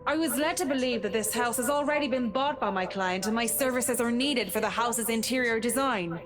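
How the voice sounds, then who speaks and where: A woman's voice: fairly high pitch, fairly quick, natural voice. A woman playing a stern interior designer in a visual novel, speaking in a formal, clipped and controlled way. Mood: restrained impatience. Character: stern professional female interior designer, curt and displeased.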